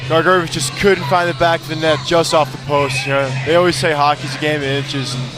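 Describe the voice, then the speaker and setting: A man's voice: on the high side, fairly even intonation, brisk, music in the background. A man giving conversational, analytical color commentary on a live hockey radio broadcast.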